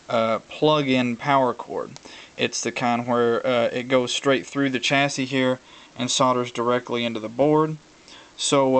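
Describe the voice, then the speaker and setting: Man giving a casual, halting walkthrough. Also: mid-range voice, a bit bright, smooth. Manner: halting, explanatory, thinking aloud. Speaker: hobbyist video presenter. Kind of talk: casual hands-on tutorial talk.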